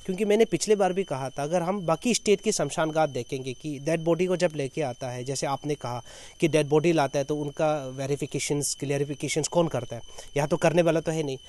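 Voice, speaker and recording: A man in his thirties speaks in a slightly high voice with a Northeast Indian, Arunachal accent. He sounds earnest, making an off-the-cuff statement to reporters' microphones outdoors, stacking repeated phrases to press his point. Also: fairly quick, a little mellow, slight background noise. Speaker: foundation chairman, activist. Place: outdoors in an open clearing with trees. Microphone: handheld reporters' microphones held at chest height.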